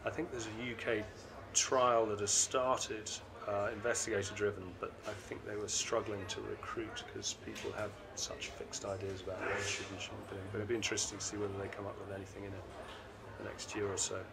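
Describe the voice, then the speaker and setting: A middle-aged man hosting a conference interview, asking brisk questions in a conversational way with an uneven pace. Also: fairly low pitch, level tone, creaky, noisy background. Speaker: interviewer and panel host. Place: seated at a conference booth indoors. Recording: constant conference hall ambience.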